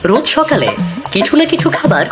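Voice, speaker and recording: A woman's voice: high voice, sing-song, normal speed. A woman narrating a scripted comic cartoon story, with music behind the voice.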